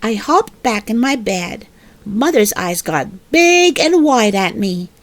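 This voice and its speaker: Female children's book narrator: expressive read-aloud, unhurried pace, lively intonation. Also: on the high side. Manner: expressive, deliberate storytelling.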